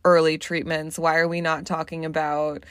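Woman, late twenties, podcast host, blunt and profane, lowish voice, dry close sound. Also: little pitch movement, normal speed, fairly bright tone, no room sound. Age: late 20s. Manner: candid, blunt, swears freely, many "like" fillers. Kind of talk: solo podcast monologue.

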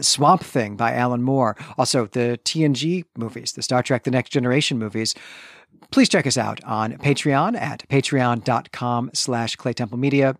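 A man with a medium-pitched voice talks as a podcast co-host about books. He is thoughtful and analytical, stressing his points in a conversational way. The recording is dry and close to the microphone. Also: no room sound. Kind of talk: conversational book discussion.

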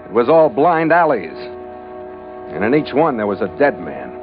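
An actor playing a hardboiled, wisecracking American adventurer, narrating in terse, sardonic 1940s radio detective style. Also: male voice, mid-range voice, very animated, normal speed, lightly acted. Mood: tense. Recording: muffled old radio recording with music underneath.

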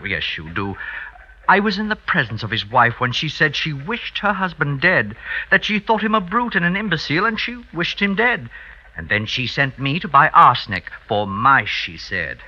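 A muffled old radio recording of an oily, ingratiating friend in a period French drama. His sharp, highish voice swoops theatrically as he feigns reluctance and insinuates. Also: sing-song, normal speed, heavily acted. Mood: insinuating. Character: oily, excitable, insinuating male friend in 1840s France.

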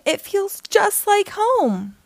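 A woman's voice: extremely high pitch, very animated, slow, gravelly, no echo, clean studio sound, voice pushed up, full character voice. A woman voicing an eager, earnest, homesick young vampire girl from a children's storybook. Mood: gleeful. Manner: exclaiming, earnest.

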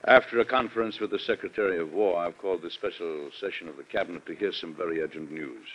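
A man's voice: sits low, quick pace. An actor playing a stern, weary President and exasperated father in an old-time radio drama, speaking formally and reproachfully. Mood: grave, formal. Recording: dull lo-fi vintage radio recording.

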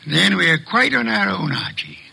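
An actor playing a pompous, exacting master detective in an old radio drama, with formal, precise diction. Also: male voice, high voice, lively intonation, normal speed, gravelly, bright, forceful tone, breathy edge, exaggerated voice, poor audio. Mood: measured, assured.

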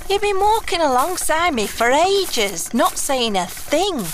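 A woman plays a roguish thief in a fantasy audio drama, sardonic and out for herself. Her voice sits a little high, brisk and dismissive, over faint background noise. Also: very animated. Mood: exasperated. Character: sardonic, self-serving roguish female thief companion.

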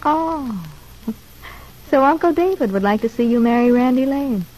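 A woman's voice: sits high, lively intonation, moderate pace, darkish tone, low-quality recording. A wisecracking woman convict in an old radio serial. She talks in a chatty, slangy way and loves to needle, with a mocking edge to her teasing. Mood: sardonic, probing. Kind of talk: old-time radio drama acting.

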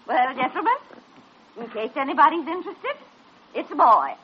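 An actress with an American accent playing a flustered, indignant, sassy nurse, huffy and scolding, in a 1940s radio drama. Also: female voice, high voice, expressive, moderate pace, a little hiss or hum. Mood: smug, huffy. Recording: dull old radio recording.

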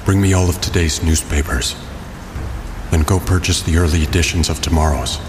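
An actor playing a brooding caped vigilante in an audio drama, speaking tersely. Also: male voice, normal speed, big-room sound, background noise, close to an everyday voice. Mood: grim. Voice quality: very deep, terse. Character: grim brooding caped vigilante, adult man.

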